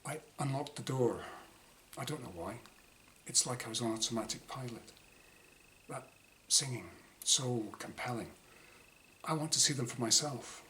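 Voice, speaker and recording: A man reading his own first-person short story dramatically, in clipped diary-like phrases with pauses. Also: medium pitch, pushed and bright. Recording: clearly noisy room ambience.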